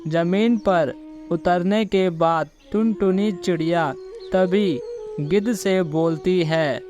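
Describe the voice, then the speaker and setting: A man's voice: sits high, slow, music in the background. A man narrating a simple moral story for children as the storyteller.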